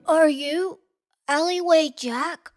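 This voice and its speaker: A woman voicing a timid, gentle young boy, meek and hesitant, in a theatrical audiobook. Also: high-pitched, takes it slowly, soft background music. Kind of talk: theatrical audiobook character acting.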